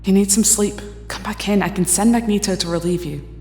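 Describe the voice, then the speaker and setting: A woman's voice: mid-range voice, fairly quick, dark tone, lightly acted. A woman playing a weary, self-doubting telepath in an audio drama, with a reserved, polite and careful delivery. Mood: concerned, polite. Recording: echoey hall reverb, music underneath.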